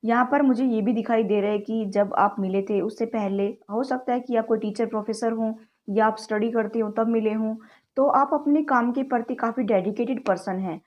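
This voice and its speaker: A woman's voice: on the high side, steady tone, moderate pace, muffled recording. A woman with a sore throat giving a chatty tarot reading, often addressing viewers as "guys" and mixing English words into her speech. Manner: mixes English words into Hindi. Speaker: tarot card reader. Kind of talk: direct-to-camera tarot reading.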